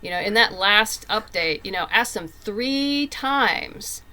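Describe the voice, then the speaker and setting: A woman's voice: mid-range voice, expressive, slow pace, bright, forceful tone. A woman speaking as a guest writer in a casual podcast interview, often restarting and repeating words as she goes. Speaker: guest comic book writer.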